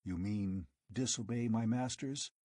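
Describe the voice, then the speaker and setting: A man's voice: mid-range voice, moderate pace, mellow, dry recording, studio quality, lightly acted. A man reading an audiobook, voicing a turbaned male magician servant who speaks hesitantly and anxiously.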